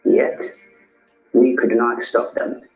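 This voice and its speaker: Guy reading as a priest, slow and heavy, echoey room with soft music behind. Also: close to an everyday voice. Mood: grave. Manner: slow, measured, grave. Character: male priest recounting a church massacre. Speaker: narrator in a played video. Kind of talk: solemn scripted testimony.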